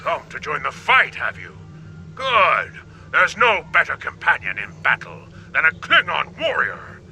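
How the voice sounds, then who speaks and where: A battle-hungry Klingon emperor for a video game. His voice is fairly high and very sharp, booming out in theatrical declarations, with music underneath. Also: wildly swooping pitch, unhurried, heavily acted. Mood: hearty, grave. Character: proud battle-hungry Klingon emperor, alien warrior leader.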